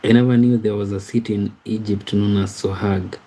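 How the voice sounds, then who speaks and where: A man from Kenya chats casually on a livestream. His voice sits low and warm, and it barely rises or falls. He reads viewer comments aloud and stumbles over his words as he answers them. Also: fairly even intonation, poor audio. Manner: slow, halting, repeats words and restarts while reading comments aloud. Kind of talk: casual live-stream chat.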